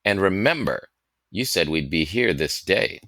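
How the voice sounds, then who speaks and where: A man's voice: low voice, expressive, a little slow, a bit bright, no room sound, clean studio sound, heavily acted. A man voicing an earnest, anxious young boy with an urgent, slightly timid manner in a storybook reading. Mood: worried, urgent. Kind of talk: storybook character voice in audiobook reading.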